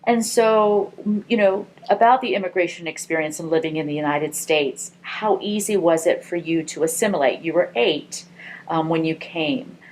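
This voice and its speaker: A woman conducting an oral history interview, asking gentle, encouraging questions. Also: low voice, normal speed, a little hiss or hum, low-quality recording.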